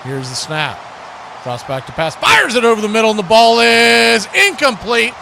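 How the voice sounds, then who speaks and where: A man's voice: very high voice, very animated, normal speed, bright, forceful tone. A man calling live football play-by-play as a radio announcer. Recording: clearly noisy background hiss and ambience.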